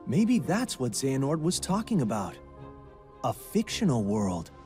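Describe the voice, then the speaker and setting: A man's voice: medium pitch, big pitch swings, a little slow, darkish tone, faint music behind. An actor playing a studious, composed young male researcher in a video game, precise, formal and analytical. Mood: thoughtful.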